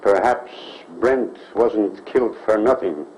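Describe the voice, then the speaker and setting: A man's voice: fairly low pitch, slow pace, darkish tone. An actor playing a jealous male admirer who makes veiled threats, in a 1930s film. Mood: brooding. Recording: muffled old film soundtrack with faint hiss.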